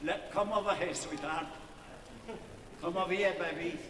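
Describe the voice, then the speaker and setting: Older Polish-accented man, high-pitched, echoey hall. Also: fairly even intonation, crowd noise. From Poland. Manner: jovial, teasing, halting non-native phrasing. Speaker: musician running a charity auction. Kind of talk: live auction banter with an audience. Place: large indoor hall at a live event. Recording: echoey hall, distant mic, clear room noise.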